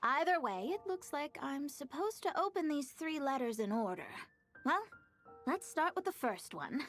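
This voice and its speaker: A proud young female student from an anime game. Her voice is high and swings widely as she talks with haughty, sarcastic drama. Music plays behind her. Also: big pitch swings, moderate pace. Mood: curious.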